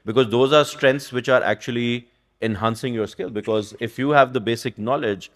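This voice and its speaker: A man's voice: medium pitch, moderate pace. A man from Pakistan, an economist speaking on a conference panel in a thoughtful, rambling way, often asking "right?". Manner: thoughtful, rambling, frequent "you know" and "right?". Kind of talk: panel discussion. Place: conference stage.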